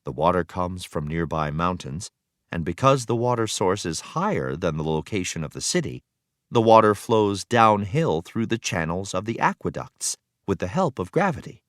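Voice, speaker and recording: Male educational narrator, lowish voice in a dry recording, expressive read-aloud delivery with storytelling emphasis. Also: moderate pace, no room sound. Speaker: teacher reading aloud.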